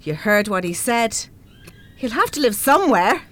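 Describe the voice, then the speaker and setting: A woman with an Irish accent playing a scheming, flattering, self-serving grown-up daughter in a radio play. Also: on the high side, normal speed. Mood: dismayed, scheming. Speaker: radio drama actor.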